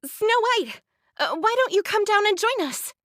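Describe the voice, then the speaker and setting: A devout young female android in an anime-style game. Her high voice is polite and gentle. The recording is close, with no room sound. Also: very animated, normal speed, no echo, full character voice. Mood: teasing.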